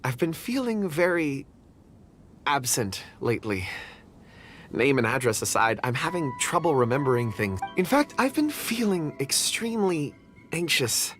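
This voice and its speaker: A polite, absent-minded video game character troubled by lost memory. His delivery is hesitant and anxious, with lively shifts in pitch. Soft music runs underneath. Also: a little slow. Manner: hesitant, apologetic. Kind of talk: English video game voice acting.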